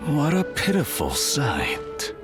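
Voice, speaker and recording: A man playing a grave, authoritative mentor in a video game set in ancient China, measured and solemn. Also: on the high side, wildly swooping pitch, over music, heavily acted. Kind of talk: dramatic video game cutscene acting.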